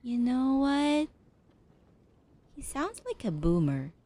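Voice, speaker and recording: Slow-talking woman doing playful gameplay commentary. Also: high-pitched, sing-song, mellow, no echo. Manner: playful, banters with game characters. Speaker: gaming streamer. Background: game music.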